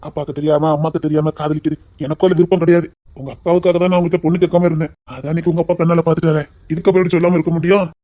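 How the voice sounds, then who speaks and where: A woman's voice: low voice, little pitch movement, rapid-fire, lo-fi sound, close to an everyday voice. A woman playing a teasing young lover with a lilt. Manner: teasing, then softly tender. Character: young man courting his sweetheart, teasing. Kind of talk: amateur romantic drama.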